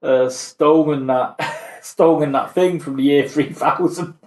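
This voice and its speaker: A man's voice: a little high, measured pace, a little mellow. A man in casual chat about TV and film, often hesitating and repeating words as he thinks aloud. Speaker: podcast co-host. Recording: echoey, roomy sound.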